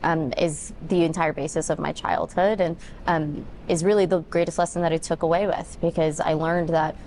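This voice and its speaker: A woman of twenty-nine, a political candidate giving interview answers in a campaign pitch. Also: steady tone, normal speed, a little mellow, a little hiss or hum. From born in Ethiopia, grew up in Denver, United States.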